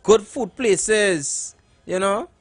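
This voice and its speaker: A man with a Trinidadian accent co-hosting a radio talk show, talking haltingly and pressing his question again and again. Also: high-pitched. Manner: hesitant, many fillers and restarts, presses a question. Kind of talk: casual radio chat.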